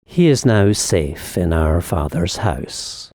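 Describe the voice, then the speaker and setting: A man's voice: low voice, very animated, takes it slowly, a little mellow, no room sound, studio quality, heavily acted. A man voicing an urbane senior demon in a dramatic audiobook, lecturing his young nephew with pompous, sly smugness. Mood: smug, reminiscing. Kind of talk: dramatic audiobook reading of epistolary satire.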